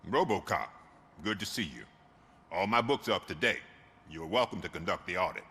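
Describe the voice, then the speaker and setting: Lively, low-voiced chatty mechanic. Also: male voice, fairly quick, breathy edge, heavily acted. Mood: friendly. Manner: chatty, obliging, folksy. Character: friendly, chatty, helpful auto repair garage owner. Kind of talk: video game cutscene acting.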